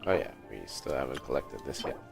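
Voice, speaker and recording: Super deep creaky guy doing chill game commentary, slow, short remarks to himself. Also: animated. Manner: thinks aloud in short remarks. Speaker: game livestreamer. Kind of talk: casual gameplay commentary. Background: game music.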